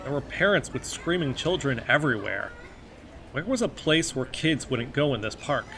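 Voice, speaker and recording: A man's voice: mid-range voice, very animated, normal speed, gravelly, fairly bright tone, poor audio. An actor playing an earnest, brooding amateur investigator who narrates his own conspiracy-minded story in an audio drama. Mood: musing. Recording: faint background noise.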